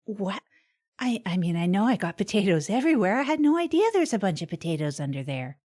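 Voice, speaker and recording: A woman as an earnest, halting radio host, mellow. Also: medium pitch, big pitch swings. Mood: flustered, polite. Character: earnest, rambling woman radio host, self-help minded failed marketer. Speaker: podcast host character. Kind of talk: scripted comedy radio-show monologue.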